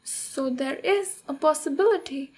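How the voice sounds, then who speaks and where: A woman voicing a tough but protective ogre warrior in a soft-spoken, reassuring ASMR roleplay, with pauses. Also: sits high, low-quality recording. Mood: matter-of-fact. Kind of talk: ASMR roleplay, speaking to the listener.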